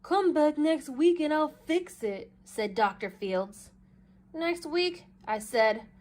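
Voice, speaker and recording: A woman reading a children's story aloud with dramatic, emphatic expression and drawn-out phrases. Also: sits high, animated, a little mellow. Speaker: storybook reader. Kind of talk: expressive children's read-aloud in a child narrator's first person.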